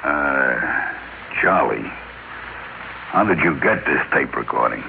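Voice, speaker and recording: A man's voice: very deep voice, animated, slow pace, vocal fry, background noise, full character voice. Principled businessman, tinny old radio. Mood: indignant. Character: principled, exasperated businessman, partner in a toy firm. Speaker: radio drama actor and narrator. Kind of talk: old-time radio drama acting. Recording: tinny old radio broadcast, music behind.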